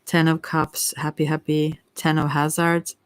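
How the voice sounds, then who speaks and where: A person giving a casual ASMR tarot reading, rambling and musing in a gentle, reassuring and hesitant way. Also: mid-range voice, monotone, unhurried, clear, resonant tone. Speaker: tarot card reader.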